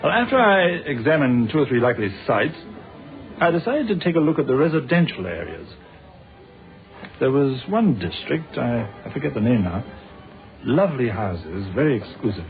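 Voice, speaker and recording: An actor playing a polite, probing British police inspector in a radio drama, with a measured, formal manner. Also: male voice, medium pitch, very animated. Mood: reflective. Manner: measured, courteous, formal questioning. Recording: thin phone-like radio sound, faint music.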